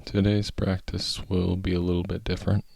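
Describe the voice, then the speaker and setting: A man leading a guided meditation, speaking in a calm, measured, gently instructive manner with long pauses. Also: low-pitched, vocal fry, slight background noise.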